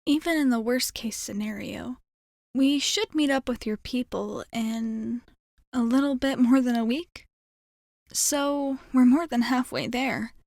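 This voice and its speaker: A woman playing a friendly, optimistic alien pilot in a soft-spoken ASMR roleplay, reassuring the listener in a hesitant, apologetic way. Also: fairly high pitch, a little slow, gravelly, no room sound, clean studio sound. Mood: gentle, encouraging.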